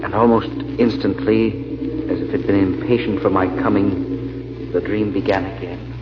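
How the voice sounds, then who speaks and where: An actor playing an earnest, wry amateur sleuth, measured and dryly humorous, in an old-time radio mystery. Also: male voice, on the low side, steady tone, close to an everyday voice. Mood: brooding, reflective. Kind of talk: old-time radio drama narration and acting. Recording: muffled old radio recording, faint hiss.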